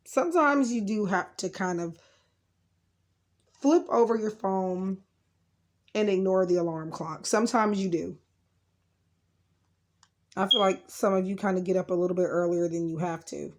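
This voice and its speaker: Lady doing a laid-back tarot reading, kinda rambly, voice a bit rough cause she's getting over being sick. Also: mid-range voice, normal speed, long pauses. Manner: rambling, informal. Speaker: tarot card reader and channel host. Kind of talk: casual unscripted pick-a-card tarot reading.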